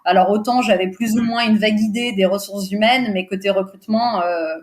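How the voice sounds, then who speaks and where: A woman in her thirties, a native Portuguese speaker, talking as a podcast guest in a relaxed, hesitant way, with many fillers and easy laughter. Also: medium pitch, brisk. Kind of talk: casual interview chat.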